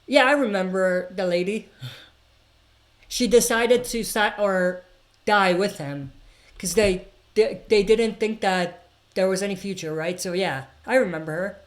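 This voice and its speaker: A woman's voice: mid-range voice, unhurried. A woman hosting a casual livestream, reading viewer comments aloud and answering them in an opinionated, rambling way with plenty of fillers. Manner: frequent fillers and restarts, opinionated. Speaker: livestream host answering chat questions. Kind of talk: casual live chat.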